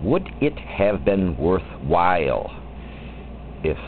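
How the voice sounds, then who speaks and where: A man reciting poetry in an expressive, theatrical and deliberate manner, drawing out his phrases. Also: sits low. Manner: theatrical, deliberate, with wide swoops and drawn-out phrases. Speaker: poetry reader. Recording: muffled lo-fi recording with faint background noise.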